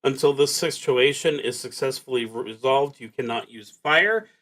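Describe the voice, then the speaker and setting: A man's voice: mid-range voice, moderate pace, fairly bright tone, low-quality recording. A man live-streaming a solo tabletop roleplaying game, reading prompts and thinking aloud as he plays.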